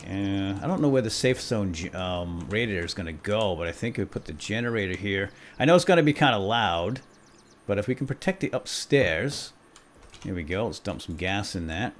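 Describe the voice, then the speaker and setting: Animated man playing and narrating a game. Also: on the low side, normal speed, a little hiss or hum. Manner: playful, exclamatory, talks to himself and viewers. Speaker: gaming video host. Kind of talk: role-play let's play commentary.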